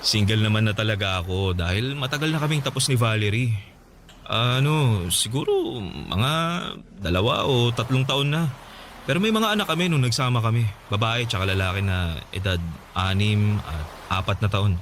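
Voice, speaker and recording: An easygoing Filipino single dad of about thirty-five in a radio romance drama. His low voice is casual and chatty, with halting pauses. Clear background noise sits behind him. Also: lively intonation, fairly bright tone. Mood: earnest, defensive. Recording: noticeable noise and ambience behind voice.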